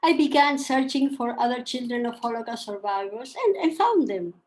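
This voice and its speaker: An older woman with Polish as her first tongue, from Argentina, reading a personal account slowly and with feeling as a panel speaker. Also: fairly high pitch, lively intonation, darkish tone. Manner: slow, measured and emotional.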